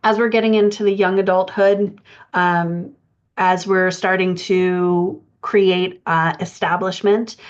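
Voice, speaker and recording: A woman coaching writers in an explanatory lecture style, speaking haltingly with pauses as she thinks through her points. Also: lowish voice, fairly even intonation, clear, resonant tone. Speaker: writing coach presenting a podcast lesson. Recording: dull, band-limited audio.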